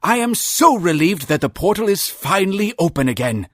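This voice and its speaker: A man voicing an excitable, gossipy little creature in a cartoon game, husky and chatty, full of marveling emphasis. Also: high voice, normal speed, dry recording, studio quality, full character voice. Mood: excited, relieved. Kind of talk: theatrical cartoon game character dialogue.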